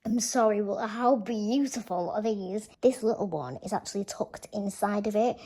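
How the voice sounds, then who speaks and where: A young woman vlogger chatting casually through a room tour, gushing and filling her talk with "like", her voice a little croaky. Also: medium pitch, normal speed, mellow. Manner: chatty, gushing, says "like" a lot. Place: bedroom.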